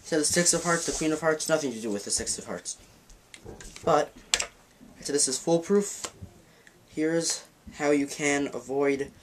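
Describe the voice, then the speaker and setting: A man's voice: medium pitch, a little hiss or hum. Rambling man explaining a card trick. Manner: rambling, self-correcting, demonstrating while talking. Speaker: magic teacher. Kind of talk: casual card-trick tutorial. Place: dim room at a dark table.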